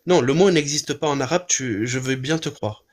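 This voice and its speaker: A man of ordinary pitch talks through his point on a live stream. He pauses and restarts often but stays calm and even. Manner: hesitant, many restarts, calm arguing. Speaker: livestream host. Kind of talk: casual debate on a live stream.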